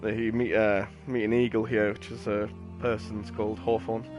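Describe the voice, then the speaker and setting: A man commentates casually on a game. His voice is on the low side, and he rambles in a slangy, matter-of-fact way. Music plays clearly behind him. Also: level tone, moderate pace, darkish tone. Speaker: game streamer. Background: game music and sound effects.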